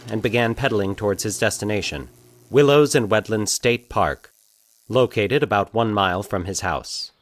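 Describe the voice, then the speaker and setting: A man with a fairly low voice narrates fiction in a measured storyteller's manner. The sound is dry, with hardly any room around the voice. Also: dry recording.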